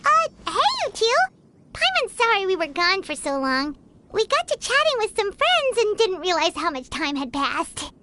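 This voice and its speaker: Paimon, squeaky tiny fairy girl. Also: big pitch swings, normal speed, pushed and bright, full character voice. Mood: apologetic. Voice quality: squeaky, childlike. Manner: speaks of self in third person. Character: Paimon, tiny chirpy childlike fairy companion, eager and cheerful. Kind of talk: video game voice acting. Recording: music behind the voice.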